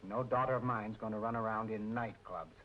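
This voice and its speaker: An actor playing a gruff, stern, middle-aged working-class father in an old-time radio drama, speaking curtly and grumbling. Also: on the low side, fairly even intonation, brisk, natural voice. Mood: grumpy, stern. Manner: curt, grumbling. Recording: muffled noisy old radio recording.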